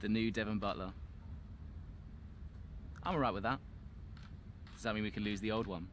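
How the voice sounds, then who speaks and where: A man acting a modest young rookie racing driver in a game cutscene. He is eager and a little halting, with a slightly low voice and light background noise. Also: fairly bright tone, close to an everyday voice. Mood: cocky. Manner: hesitant, halting interview answers.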